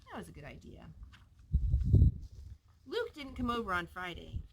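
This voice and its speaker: A woman reading a children's story aloud in an expressive way, putting questions to her young listeners. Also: mid-range voice, moderate pace, gravelly, heavy background noise.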